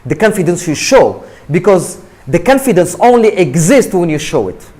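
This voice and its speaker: A man's voice: high voice, big pitch swings, moderate pace, mellow. A man from Morocco, speaking English as a guest speaker in an emphatic motivational talk to students, often checking in with "right?" and repeating himself.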